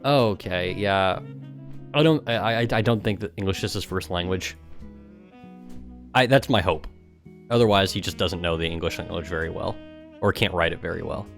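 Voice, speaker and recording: A man's voice: deep voice, moderate pace, vocal fry, pauses often, faint music behind. A man doing casual, mocking livestream commentary, sometimes reading aloud in a sarcastic way. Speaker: streamer and gamer.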